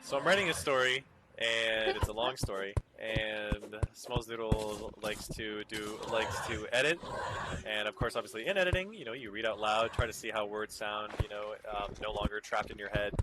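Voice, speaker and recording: A man's voice: fairly low pitch, fairly even intonation, moderate pace, noisy background. Easygoing man chatting over gameplay. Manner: easygoing, reacts aloud to the game. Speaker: let's play host. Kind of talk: casual gameplay chat. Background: game music.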